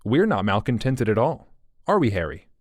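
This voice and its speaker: Male narrator doing chirpy nervous young woman, hurried, placating, higher voice, dry. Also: very animated, no echo. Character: nervous young woman, eager to smooth things over.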